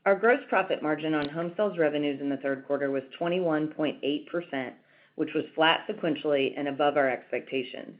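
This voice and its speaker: A woman's voice: deep voice, a little fast. A woman reading a scripted financial presentation as a company executive on an earnings call. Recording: tinny phone-like conference line.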